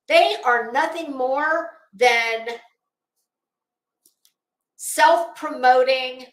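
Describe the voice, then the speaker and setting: High voiced lady ranting on a livestream, slow and emphatic, super blunt, kinda echoey room. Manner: blunt, scornful, emphatic, with deliberate repetition. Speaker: livestream host. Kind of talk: opinionated livestream commentary to viewers.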